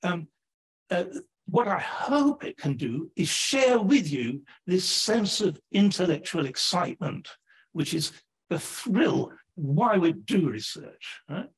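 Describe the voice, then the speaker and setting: Lively older Englishman lecturing. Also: sits high, breathy edge, poor audio. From England, Sheffield. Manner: animated, chatty, frequent "right?" tags. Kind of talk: public lecture. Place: lecture hall, standing at a lectern. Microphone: lectern microphone.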